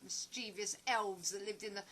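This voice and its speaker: Actress as a guarded woman, bright clear-cut voice. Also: medium pitch, steady tone, moderate pace, a little hiss or hum, lightly acted. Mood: wistful, reminiscing. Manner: hesitant, reserved, reminiscing. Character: guarded, wistful woman living alone, grieving her father. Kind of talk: audio play dialogue.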